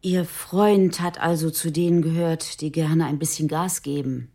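An actress playing a middle-aged, matter-of-fact police detective who speaks tersely and asks direct questions in a radio drama. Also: female voice, low-pitched, steady tone, measured pace, mellow. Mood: probing. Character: probing female police detective around forty, matter-of-fact.

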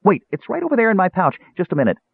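A man voicing an excitable, childlike little kender who rambles eagerly in a storybook reading. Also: mid-range voice, moderate pace, husky, no echo, narrow, tinny audio, voice pushed up, full character voice. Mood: chattering, evasive. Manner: rambling, breathless, eager, digressive.

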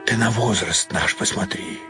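A man's voice: fairly low pitch, moderate pace, over music, lightly acted. A man plays a middle-aged woman in a radio story, practical and a little timid. She sounds easily startled and full of doubt. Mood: startled, doubtful. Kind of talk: character dialogue in radio story reading.

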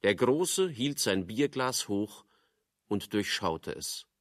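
A man giving scripted literary narration for a radio drama in a measured storytelling manner. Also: on the low side, very animated, no room sound, clean studio sound.

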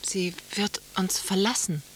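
An actress playing a dreamy, teasing wife in a radio play, speaking in short poetic remarks. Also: female voice, medium pitch, measured pace, close to an everyday voice. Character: dreamy, teasing wife watching the water, needling her husband. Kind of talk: German radio play acting. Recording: faint tape hiss.

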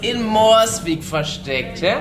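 A woman's voice: medium pitch, animated, takes it slowly, music in the background. An actress playing a sceptical village woman with a dry, teasing manner.

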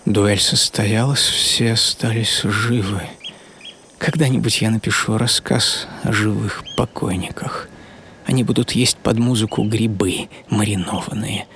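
An actor playing a melancholy, bitter banker and mystic writer, telling his life in a theatrical radio monologue with dramatic pauses. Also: lowish voice, steady tone, a little hiss or hum. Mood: brooding. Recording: loud music under the voice.

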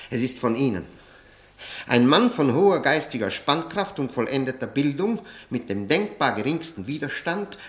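An actor in a German radio play playing a bitter, ironic, educated convict who speaks deliberately and pauses for effect. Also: male voice, medium pitch, a bit bright, roomy sound. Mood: sardonic.

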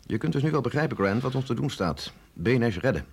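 A gravelly, authoritative older scientist. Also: male voice, mid-range voice, animated, exaggerated voice. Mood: measured, sceptical. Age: middle-aged. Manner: measured, explanatory, insistent. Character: sceptical, authoritative male scientist briefing an agent, no longer young. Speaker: scientist doctor. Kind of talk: radio drama acting.

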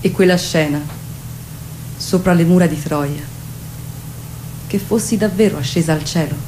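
Woman playing sleepless haunted woman, dark lowish voice, sombre monologue, faint noise. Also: normal speed, close to an everyday voice, poor audio. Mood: brooding. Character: weary, sleepless woman haunted by fear and memory. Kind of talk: literary monologue reading for radio.

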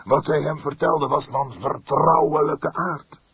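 Fervent, husky, high-strained clergyman. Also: fairly even intonation, fairly bright tone, no echo, full character voice. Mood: indignant. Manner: emphatic, deliberate, formal phrasing. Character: fierce, pained elderly pastor, indignant and solemn. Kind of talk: audiobook character voice.

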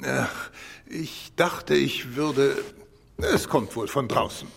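Cautious police chief with a gravelly creak. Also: male voice, medium pitch, lively intonation, slow pace. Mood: observant. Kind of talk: German radio drama acting. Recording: studio radio play with clear background noise.

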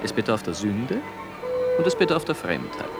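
Opinionated guy lecturing, crackly voice, super animated and emphatic, radio play, music going behind. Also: lowish voice, normal speed, vocal fry. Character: opinionated man holding forth provocatively on seduction. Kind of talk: conversational radio play acting.

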